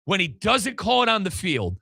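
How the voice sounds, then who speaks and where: A man with an American accent hosts sports talk in a high-pitched voice. The sound is dry and close, and his tone is bright and forceful. He is emphatic and colloquial as he recaps the action. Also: wildly swooping pitch, normal speed, vocal fry, breathy edge, no echo. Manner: emphatic, colloquial, addresses listeners and co-host directly. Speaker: sports show host and radio play-by-play commentator.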